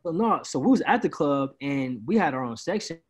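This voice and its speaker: A man's voice: fairly high pitch, expressive, fairly quick, breathy edge. Laid-back young man on a video call. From Peoria, Illinois. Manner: laid-back, rambling, says "bro" often. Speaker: guest, party promoter. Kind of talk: casual interview. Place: indoors, small room at home.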